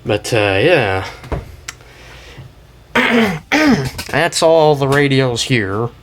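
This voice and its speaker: A man hosting a casual show-and-tell, talking in a rambling, halting way and often trailing off mid-thought. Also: mid-range voice, sing-song, background noise. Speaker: video host and weather radio collector.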